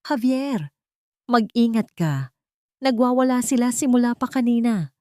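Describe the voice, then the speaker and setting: A tearful, accusing wife in an audio drama, her voice climbing high and straining through sobs, as a woman puts her on. The sound is close and dry. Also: animated, unhurried, a little mellow, dry recording. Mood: urgent warning. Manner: high, sobbing, accusing outbursts.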